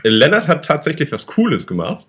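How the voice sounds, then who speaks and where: A man's voice: a little high, huge pitch swings, a little fast, a bit bright, slightly rough. A young man co-hosting a casual chat, rambling and joking, with frequent fillers and restarts. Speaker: podcast co-host.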